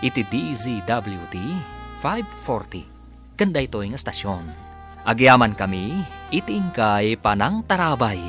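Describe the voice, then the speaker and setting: A man's voice: medium pitch, very animated, a little slow, fairly bright tone, soft background music. A man with a Filipino accent giving dramatic scripted narration as a radio drama announcer.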